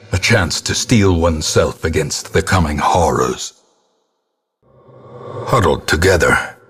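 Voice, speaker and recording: A very deep, husky old ghostly ancestor, narrates a gothic horror game. The delivery is very slow, solemn and portentous, with ornate theatrical weight. The character sounds grim and ominous, as if presiding over a doomed estate. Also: male voice, a bit bright, heavily acted. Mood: grave resolve.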